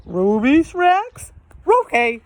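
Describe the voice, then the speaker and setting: A woman's voice: high voice, big pitch swings, extremely slow, voice pushed up, heavily acted. A woman voicing a big, goofy, hungry cartoon dog who garbles words with R sounds for a storybook. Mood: eager, hungry. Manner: garbled words starting with R, doggy speech.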